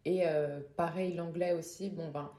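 A young woman with a Parisian accent, a singer talking casually as an interview guest, relaxed with frequent laughs and fillers. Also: sits low, a little slow. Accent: Parisian French. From French Caribbean family. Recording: echoey room.

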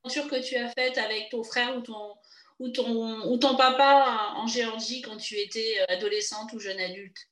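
Woman host asking questions, a bit hesitant, somewhat high voice, echoey room. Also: steady tone, moderate pace, a bit bright. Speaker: podcast host. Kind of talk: conversational interview questions. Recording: roomy echo.

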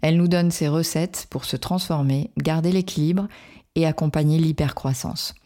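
A woman's voice: deep voice, fairly even intonation, normal speed, warm tone. A woman hosting a podcast as a career coach, reading an upbeat, emphatic scripted introduction and then greeting her guest.

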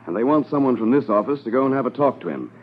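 An actor playing a commanding Mountie officer in a 1950s radio drama, speaking in a measured, authoritative way. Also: male voice, medium pitch, lively intonation, natural voice. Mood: brisk, businesslike. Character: commanding male Mountie detachment officer narrating a case. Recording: tinny 1950s radio transcription.

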